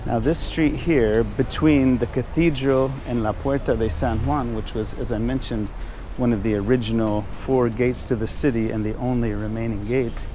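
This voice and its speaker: A man's voice: mid-range voice, fairly even intonation, normal speed, few pauses. A man giving a casual walking tour narration as a guide. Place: outdoors on old city streets. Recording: outdoor street noise behind voice.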